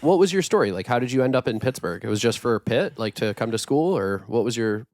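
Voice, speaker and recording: A man's voice: medium pitch, lively intonation, fairly quick, a little mellow, dry recording. A man hosting a casual podcast interview in an enthusiastic, upbeat way. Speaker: podcast host.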